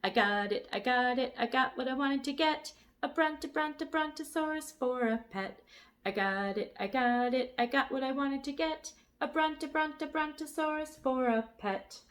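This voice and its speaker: A woman voicing a bossy, snippy young girl with a squeaky voice in a storybook reading. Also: lively intonation, a little slow, hardly any pauses, a bit bright. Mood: gloating. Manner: high, shrill and demanding.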